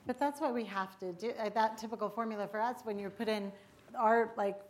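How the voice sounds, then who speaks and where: A woman's voice: medium pitch, fairly even intonation, brisk. A North American woman, a filmmaker, talking conversationally on a panel. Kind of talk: casual panel talk. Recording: live panel with clear background noise.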